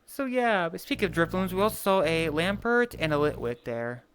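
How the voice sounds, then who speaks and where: Higher-voiced man chatting in roleplay. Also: lively intonation, moderate pace, a bit bright, slight background noise. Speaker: roleplayer playing a young human guild guest. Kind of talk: casual in-character roleplay chat. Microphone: online voice chat in a virtual world.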